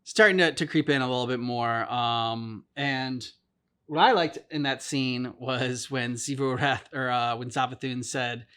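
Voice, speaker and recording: A man co-hosting a game reaction video, speaking haltingly, with many fillers, and trailing off. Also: mid-range voice, fairly bright tone, clean tone. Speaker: co-host of a game reaction channel. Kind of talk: casual reaction chat.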